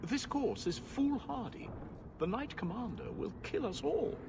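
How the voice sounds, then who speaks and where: Fearful noble, very high creaky voice, formal, fretful, game dialogue, noisy background. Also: male voice, expressive, unhurried, full character voice. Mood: fearful warning. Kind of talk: video game character acting.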